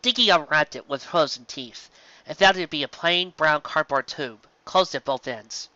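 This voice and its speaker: A narrator reading a scripted story aloud in an animated storytelling style, on a slightly dull home recording. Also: medium pitch, normal speed, dry recording.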